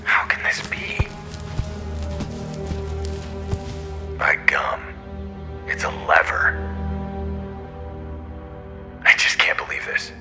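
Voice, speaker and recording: An actor playing an awestruck astronaut captain in an audio drama, often hushed and breathless with wonder. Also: male voice, slow, close to an everyday voice. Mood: astonished, tense. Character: awestruck male astronaut captain exploring a spacecraft under the moon. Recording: dramatic music behind the voice.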